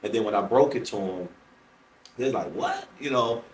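A man's voice: fairly low pitch, moderate pace. Middle-aged American man storytelling, roomy distant sound. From Chicago, United States. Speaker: stand-up comedian, podcast guest. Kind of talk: conversational storytelling in an interview. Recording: roomy, distant mic, faint noise.

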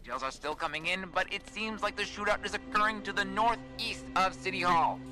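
An actor playing a flustered radio news reporter relaying breaking updates in a radio drama. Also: male voice, high voice, brisk, lightly acted. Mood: tense, flustered. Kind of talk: radio news bulletin acting. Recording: loud music behind the voice.

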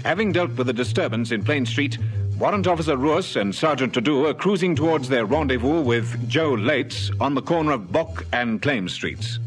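An actor as the narrator of an old police radio series, reading in a formal, report-like way. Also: male voice, medium pitch, expressive, normal speed, natural voice. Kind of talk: scripted radio drama narration. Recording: vintage radio broadcast with music behind.

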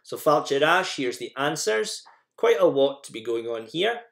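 Man teaching, with lively pitch swings. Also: normal speed, vocal fry. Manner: instructional, informal, repeats phrases for learners. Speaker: Gaelic language teacher presenting a lesson. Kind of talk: slide lesson narration with instructions and example sentences.

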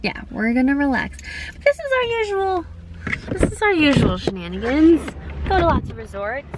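A playful young woman in her twenties chats into a handheld camera, sleepy and sore-throated. Her voice is high and very animated. Clear noise runs under the camera audio. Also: moderate pace. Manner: giggly and playful. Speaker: vlogger. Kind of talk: casual vlog chat. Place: apartment at home, then a car and a resort at night. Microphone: handheld camera at arm's length.

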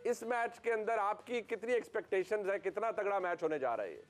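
A man's voice: sits high, expressive, a little fast. A man in his thirties hosting a live cricket broadcast from a TV studio, speaking formally with many fillers in his long questions. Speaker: sports show host.

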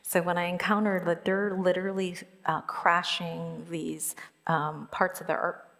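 A middle-aged woman whose first language is Korean, reflecting thoughtfully with many pauses and restarts in an onstage conversation, speaking into a headset mic. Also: lowish voice, flat delivery, vocal fry, fairly bright tone. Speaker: artist interviewed on stage. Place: lecture hall stage, seated in armchair. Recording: long hall reverb.

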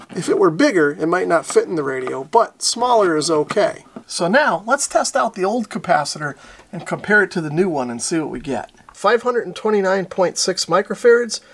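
Repair tutorial host: American male, forties, higher-than-average pitch, casual explanatory delivery. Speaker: host of a radio repair channel. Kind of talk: instructional narration of an electronics repair.

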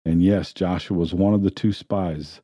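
A man with a low, very dark and mellow voice delivers a sermon, walking his listeners through scripture stories in an unhurried, plain manner. The sound is dry, with little room around it. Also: no room sound. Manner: plain, steady preaching with storytelling. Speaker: preacher. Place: church sanctuary.

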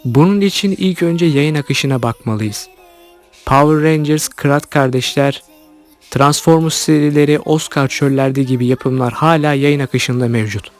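Higher-pitched man narrating commentary over music. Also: unhurried. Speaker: YouTube host commenting on cartoons. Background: background music.

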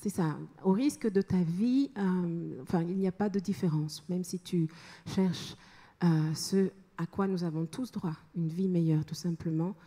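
A young adult woman from France, a singer-songwriter, speaking slowly and earnestly into a handheld mic on stage before her song. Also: lowish voice. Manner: slow, soft, earnest speech with pauses. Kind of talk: spoken introduction to a song on stage. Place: large concert hall stage with audience. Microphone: handheld stage microphone. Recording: long hall reverb, live band behind.

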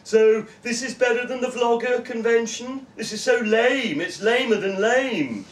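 Man acting sulky young girl, high and scornful. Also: unhurried. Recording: roomy echo, distant camera mic.

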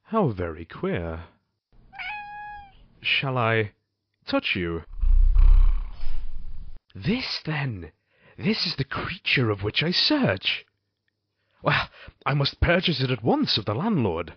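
An actor playing a brooding, cruel married man haunted by guilt, narrating a gothic audio drama in formal, theatrical phrasing with a husky voice. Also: medium pitch, wildly swooping pitch, takes it slowly, long pauses, highs cut off, exaggerated voice. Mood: intrigued.

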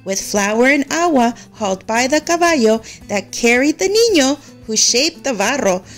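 A woman narrating a children's story in an expressive, measured way, as a read-aloud storyteller. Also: sits high, soft background music.

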